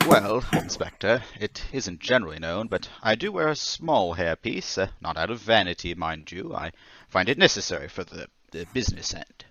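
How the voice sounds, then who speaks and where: A man playing a meek, polite and nervous murder suspect in an old-time radio mystery, hesitant and stammering but quietly stubborn. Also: low voice, animated, normal speed, dry recording.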